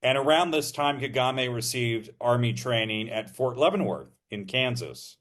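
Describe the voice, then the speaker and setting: A man co-hosting a podcast, explaining history in a measured, casual way, thinking aloud with frequent ums and uhs. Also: medium pitch, a bit bright.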